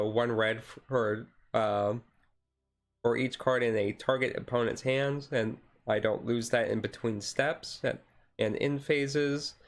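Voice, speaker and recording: A man streaming a casual ASMR-style card showcase, easygoing and halting as he reads out cards, his voice a little rough and taken gently. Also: mid-range voice. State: voice slightly rough, being taken easy.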